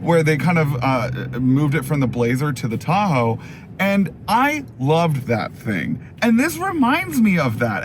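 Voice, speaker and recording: A man hosting a casual, chatty car review in an animated, opinionated, enthusiastic way, his voice going a little hoarse. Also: fairly high pitch, a little hiss or hum. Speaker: car review video host.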